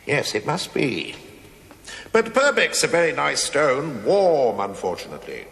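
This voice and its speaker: An actor playing a middle-aged working stonemason in a television play, wry and grumbling, with mock-deferential, theatrical phrasing. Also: male voice, a little high, very animated, unhurried, gravelly, a bit bright, heavily acted. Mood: wry deference. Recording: echoey hall, faint noise, 1980s TV recording.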